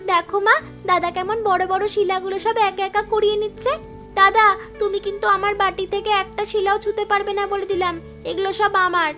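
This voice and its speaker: A woman voicing an excitable, stubborn little village girl in an animated family drama. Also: very high-pitched, fast, soft background music. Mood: excited, stubborn.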